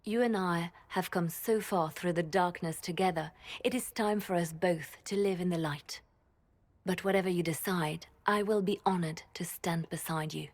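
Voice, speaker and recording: An actress playing a composed, devoted female spymaster and adviser in a fantasy game, calm, deliberate and formal. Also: on the low side. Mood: solemn, sincere. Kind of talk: dramatic fantasy game acting.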